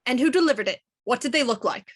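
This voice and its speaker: Stern inspector lady firing off clipped questions, a bit high. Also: animated, fast talker, fairly bright tone. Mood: probing. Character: young woman actor, sharp and sarcastic, voicing a stern inspector. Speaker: actor playing a police inspector in a period radio play. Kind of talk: audio drama acting.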